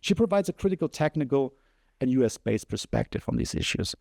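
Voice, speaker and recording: A male moderator with a mellow, dark-toned voice introduces a panel in a measured, partly scripted delivery. His voice is mid-pitched, and the sound is dry and close, with little room echo. He slips in an occasional um. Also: sing-song, vocal fry. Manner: measured reading with occasional um fillers. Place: conference venue.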